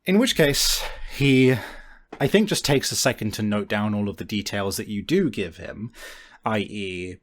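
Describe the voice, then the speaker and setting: A man with a fairly low voice narrates a tabletop roleplaying game as its game master. He improvises informally, pausing and rephrasing as he explains the scene to a player. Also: expressive.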